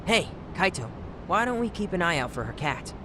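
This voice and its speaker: A man's voice: high-pitched, very animated, normal speed, noisy background. A man playing an eager, hopeful teenage boy in a video game cutscene. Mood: urging.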